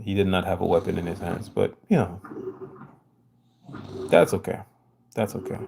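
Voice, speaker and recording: Low-voiced man rambling on a livestream. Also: vocal fry, warm tone, slight background noise. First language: French. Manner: loose, rambling, fillers like "you know". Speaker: livestream host. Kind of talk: casual livestream talk, reacting to chat.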